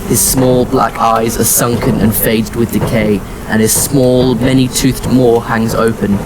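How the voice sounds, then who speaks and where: A somber male wanderer played by an actor tells his science fiction story in the first person. His voice sits at a medium pitch, measured and reflective, with clear background noise around it. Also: flat delivery, lightly acted. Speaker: narrator and lead character in an audio drama. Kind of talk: first-person scripted narration.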